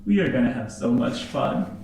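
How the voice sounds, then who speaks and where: A man voicing a gleeful, teasing, impish hologram trickster in a sci-fi tabletop game, with a theatrical, drawn-out delivery. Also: mid-range voice, lively intonation, vocal fry, darkish tone, very echoey, slight background noise, low-quality recording. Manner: taunting, playful, drawn-out delivery.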